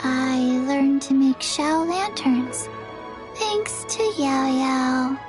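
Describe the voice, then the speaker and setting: A woman voicing a small, forgetful undead girl in an anime-style video game, childlike, halting and deadpan. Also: high voice, over music, exaggerated voice. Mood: quietly earnest.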